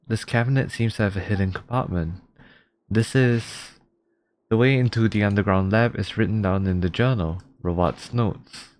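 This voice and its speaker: A man giving casual let's play commentary as a streamer, often reading game prompts aloud. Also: lowish voice. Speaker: streamer playing a video game. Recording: game music behind the voice. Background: video game music.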